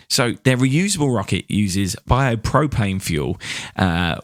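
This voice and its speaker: A British man co-hosting a podcast, talking casually in an upbeat, animated manner. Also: on the low side, no echo, studio quality. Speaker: podcast co-host. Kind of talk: casual space news podcast chat.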